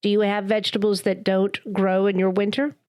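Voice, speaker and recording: A woman's voice: mid-range voice, little pitch movement, fairly quick, darkish tone, smooth. A woman hosting a podcast, reading polished narration and asking interview questions. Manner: polished, read-aloud delivery. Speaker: podcast host.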